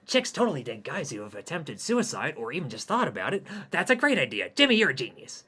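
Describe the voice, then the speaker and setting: A man's voice: fairly high pitch, huge pitch swings, fast talker, sharp tone, breathy edge, voice pushed up, full character voice. A man voicing a pathetic, self-pitying young man who whines and hesitates with sighing "oh"s. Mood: whiny. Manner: whiny, sighing, hesitant with many "oh" and "uh".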